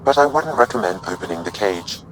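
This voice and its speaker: A man voicing a calm, analytical AI assistant in a sci-fi game, with a measured, formal, factual delivery. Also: on the low side, natural voice. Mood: detached, factual. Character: calm, analytical artificial intelligence assistant, male. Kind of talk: video game voice acting.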